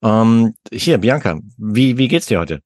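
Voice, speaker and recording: A man hosting a podcast, chatting casually and relaxed with a guest, using many fillers. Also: mid-range voice, a little slow, no room sound, clean studio sound. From Germany. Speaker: podcast host. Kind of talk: casual interview chat. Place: home in France.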